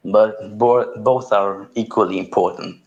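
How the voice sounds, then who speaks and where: A young Italian-born man speaking English as a second language, low-voiced and very slow. He answers briefly, with long pauses between phrases. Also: fairly even intonation, creaky, a little mellow, highs cut off. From Italy. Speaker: rapper, interview guest.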